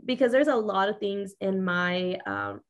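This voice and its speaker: A woman's voice: medium pitch, huge pitch swings, unhurried. A young woman co-hosting a podcast in casual chat, easygoing and often agreeing with short replies. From Mexican heritage. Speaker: podcast co-host.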